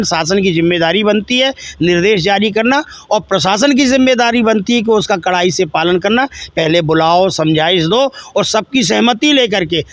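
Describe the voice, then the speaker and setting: Male religious leader, interview statement. High voice, earnest and exhorting, close dry sound. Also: a bit bright, no echo.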